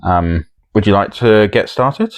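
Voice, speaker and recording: Deep-voiced man with very animated delivery. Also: measured pace. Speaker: podcast host running a game show. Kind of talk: casual comic banter.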